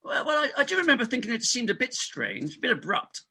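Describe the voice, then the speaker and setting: A man's voice: very high voice, very animated, quick pace, vocal fry, bright, forceful tone, husky, exaggerated voice. A man playing a pompous, evasive middle-aged London doctor, blustering and stammering through a comic stage play reading. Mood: panicked, blustering.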